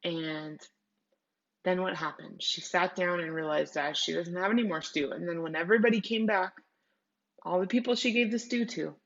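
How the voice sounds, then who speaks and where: A woman's voice: on the low side, normal speed, vocal fry, lo-fi sound. A woman teacher doing a read-aloud lesson for children.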